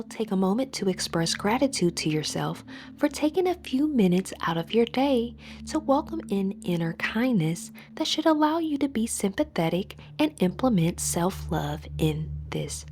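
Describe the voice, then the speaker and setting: A woman leading a guided meditation as host, giving measured, soothing instructions in a soft, breathy voice that trails toward a whisper. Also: medium pitch.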